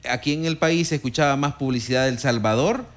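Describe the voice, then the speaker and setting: A man co-hosting a radio talk show, speaking in a measured, conciliatory way. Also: medium pitch. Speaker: radio co-host.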